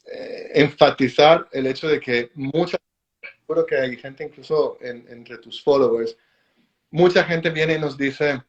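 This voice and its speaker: A man joining a live-stream chat as a guest, pitching enthusiastically and persuasively. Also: lo-fi sound.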